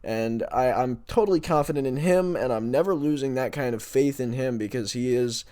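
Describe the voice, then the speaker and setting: A man's voice: mid-range voice, normal speed, dark tone. A man co-hosting a sports podcast, giving opinions in an exasperated, emphatic and insistent manner.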